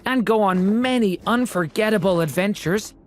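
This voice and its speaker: A woman voicing a gentle, sincere young boy in a video game, reassuring and encouraging. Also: mid-range voice, normal speed, slight background noise, close to an everyday voice. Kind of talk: video game character acting.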